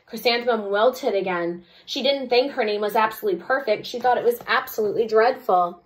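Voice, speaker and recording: A woman's voice: on the high side, fairly quick, rough recording. A woman, a second-grade teacher, reading a storybook aloud expressively and adding chatty explanatory asides for children.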